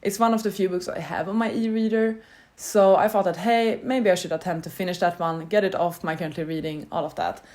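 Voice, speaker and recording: A woman's voice: fairly low pitch, a little fast, darkish tone. Chatty, rambling woman vlogger. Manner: chatty and rambling, loosely structured. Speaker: book vlogger. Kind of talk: casual chat to camera.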